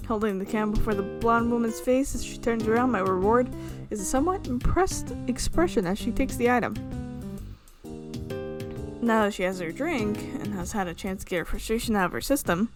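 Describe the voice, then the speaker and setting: A woman's voice: fairly high pitch, animated, brisk. A woman reading a visual novel aloud as a let's player, narrating dramatically and slipping in casual asides. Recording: music playing behind the voice.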